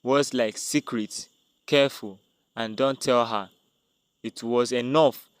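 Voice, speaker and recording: A man's voice: medium pitch, steady tone, takes it slowly, clean tone, dry recording. A man narrating a dramatic scripted tale as a storyteller.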